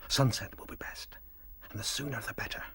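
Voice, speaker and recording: Radio drama hero rabbit, played by a man: bold, assertive officer with a sharp, forceful edge and persuasive drive. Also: mid-range voice, steady tone, a little fast, in a whisper, natural voice. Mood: hushed, urgent. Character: bold, determined male rabbit officer, rebel infiltrator.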